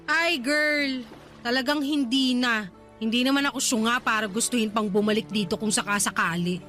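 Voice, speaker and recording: A woman's voice: a little high, moderate pace, bright, forceful tone, faint music behind. An actress playing a brash, ambitious young Filipino woman in a radio drama, sassy and dismissive, with a Filipino accent and English phrases mixed in. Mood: haughty, teasing.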